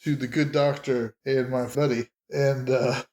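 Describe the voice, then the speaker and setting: A man playing a tabletop game, describing his character's actions in animated, casual improvised talk, recorded in a roomy space. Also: medium pitch, unhurried. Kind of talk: casual improvised role-play chat. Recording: roomy, echoing home recording.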